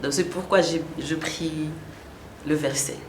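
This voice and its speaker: A woman's voice: deep voice, fairly even intonation. A woman giving personal testimony as an interview guest, searching for her words and often repeating them. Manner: halting, repeats words while searching for French. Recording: echoey room, faint background noise.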